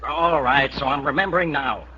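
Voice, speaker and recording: An actor playing a bossy, needling police inspector in a 1940s radio crime drama. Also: male voice, a little high, fairly quick, bright, forceful tone. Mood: brusque, impatient. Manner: brusque, needling. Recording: muffled old radio recording, faint noise.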